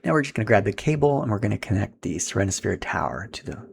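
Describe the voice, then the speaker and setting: A man's voice: on the low side, very animated, fast talker, vocal fry, slightly rough. A man giving a casual, step-by-step setup tutorial in an informal, instructional way as he handles equipment.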